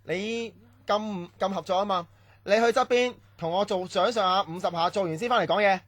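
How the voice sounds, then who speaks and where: A man's voice: sits high, fairly quick, a bit bright, no echo, studio quality. A man acting a strict, domineering training-camp instructor in a Cantonese radio drama, barking orders and scolding. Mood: stern, bossy.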